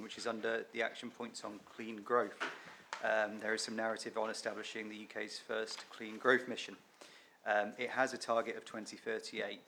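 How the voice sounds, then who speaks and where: A man committee member who asks a measured, precise question. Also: fairly low pitch, gravelly, fairly bright tone, slight background noise.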